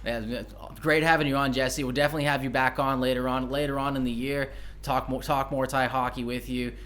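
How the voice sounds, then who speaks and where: A man's voice: mid-range voice, little pitch movement, brisk, fairly bright tone. A man hosting a podcast, chatting casually and thinking aloud as he rambles. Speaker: podcast host. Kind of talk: casual chat.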